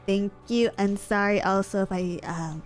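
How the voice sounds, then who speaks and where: Slow, chatty, sniffly young woman. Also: mid-range voice, steady tone, faint music behind. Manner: chatty, giggly, rambling with many fillers. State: sniffly from allergies. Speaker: streamer. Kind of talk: casual chat with viewers.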